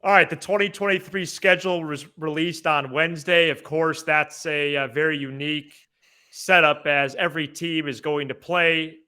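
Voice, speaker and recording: A man's voice: on the high side, steady tone, normal speed, pushed and bright, clean tone. A man hosting a sports podcast, giving casual commentary in a conversational way.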